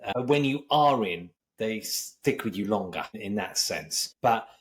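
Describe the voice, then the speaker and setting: A man's voice: fairly low pitch, lively intonation, a little slow. A British man hosting a podcast interview, talking conversationally and rephrasing himself mid-sentence. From Britain. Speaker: podcast host.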